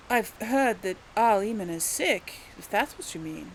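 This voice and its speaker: Musing woman gamer, medium pitch. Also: lively intonation, takes it slowly, slight background noise, poor audio. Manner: musing, thinking aloud. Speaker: gamer, let's play host. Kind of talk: casual game role-play commentary.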